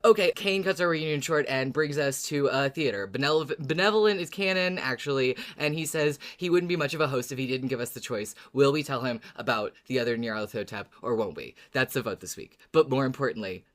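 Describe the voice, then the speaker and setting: A podcast co-host with a mid-range voice recaps a show in a casual, fannish way. The delivery is effusive and dramatic, with some searching pauses as thoughts form. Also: medium pitch.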